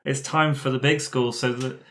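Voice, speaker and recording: A man's voice: medium pitch, steady tone, normal speed, background noise. A man improvising a story casually as a player in a tabletop game.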